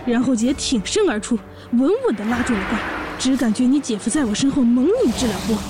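Woman playing brash, high-voiced orc warrior big sister. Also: lively intonation, fairly quick, noisy background, natural voice. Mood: nostalgic, boastful. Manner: sassy, blunt, bossy. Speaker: voice actor in a fan audio drama. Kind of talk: audio drama acting and narration.

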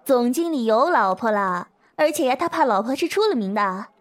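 A woman playing an excitable office gossip in a modern audio drama, mocking and conspiratorial. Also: on the high side, moderate pace, close to an everyday voice. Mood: gleeful gossip.

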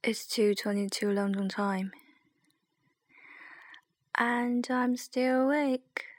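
A young woman with a Chinese accent, rambling hesitantly and softly in a late-night solo podcast. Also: on the high side, steady tone, slow pace, no echo. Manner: hesitant, rambling, soft and sleepy. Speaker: podcast host.

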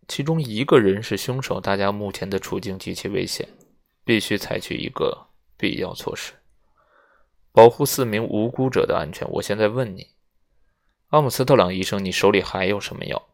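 A man voicing an old judge who speaks calmly, measured and in command, in an audiobook. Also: lowish voice, creaky. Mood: stern. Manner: calm, measured, authoritative. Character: old male judge, icy calm and in command.

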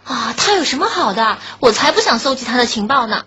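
Casting: woman playing sweet girlish schoolgirl friend, very high lively pitch, emotive radio drama style. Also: a little fast. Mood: innocent, pouting. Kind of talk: Chinese radio drama acting. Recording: dull lo-fi audio under clear music.

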